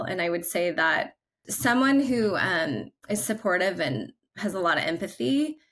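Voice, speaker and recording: Bright-voiced woman on a video call. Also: medium pitch. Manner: chatty, upbeat, many "like" fillers. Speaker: guest, creative brand leader. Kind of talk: casual video-call interview. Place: home living room. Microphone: video call, laptop camera.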